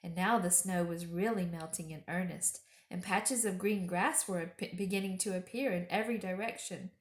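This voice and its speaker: A woman reading a children's story aloud expressively, with deliberate phrasing and dramatic emphasis. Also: fairly low pitch, echoey room. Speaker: storytime reader.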